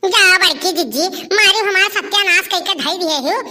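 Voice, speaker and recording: A woman voicing an angry village woman guarding her field in a cartoon comedy, shrill and accusing. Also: moderate pace, sharp tone, heavily acted. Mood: furious accusing.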